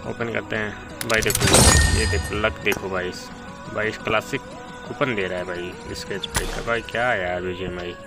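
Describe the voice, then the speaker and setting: A man's voice: on the low side, fairly even intonation, normal speed. A man giving casual, chatty gameplay commentary, talking to viewers like brothers. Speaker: gaming video creator. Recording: loud music behind the voice. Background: loud music.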